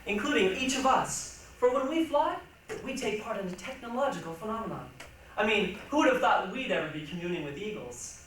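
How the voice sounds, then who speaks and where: A woman's voice: mid-range voice, animated, a little fast. A woman performing dramatic, emphatic narration in a readers' theatre piece. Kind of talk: dramatic recited stage narration. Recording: very echoey hall, distant mic, faint noise.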